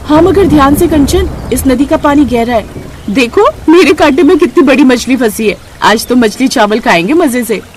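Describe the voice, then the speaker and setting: A woman's voice: high voice, lively intonation, moderate pace, a little mellow, a little hiss or hum, voice pushed up, poor audio. A woman voicing an eager, practical young village daughter-in-law who exclaims in wide-eyed wonder in a cartoon story. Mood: delighted. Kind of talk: cartoon moral story dialogue.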